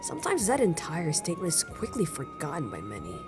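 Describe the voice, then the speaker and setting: A man's voice: high voice, wildly swooping pitch, fairly quick. A man playing an easygoing, teasing veteran of a crime syndicate in an audio roleplay, conversational and amused. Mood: wistful. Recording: music playing clearly behind the voice.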